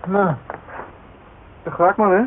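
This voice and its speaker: A man's voice: high-pitched, fairly even intonation, takes it slowly, a little hiss or hum. An actor playing a hesitant, awkward young man in a radio drama, stumbling politely over his words. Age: young adult. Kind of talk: naturalistic radio drama dialogue. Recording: tinny old radio recording.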